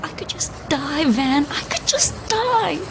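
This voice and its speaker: A high-pitched, fretful young female tourist frets melodramatically in a horror comedy drama. She is mortified and self-dramatising. There is faint noise behind the voice. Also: sing-song, measured pace, creaky, heavily acted. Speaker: tourist on a coach. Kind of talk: scripted audio horror comedy acting.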